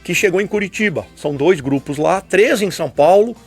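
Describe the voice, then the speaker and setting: A husky older man from Brazil. His fairly high voice rises and falls a great deal as he lectures on a radio show. Faint music plays behind him. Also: lively intonation, a little fast. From southern Brazil. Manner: lecturing, with frequent tag questions. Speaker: guest historian. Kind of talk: explanatory radio conversation.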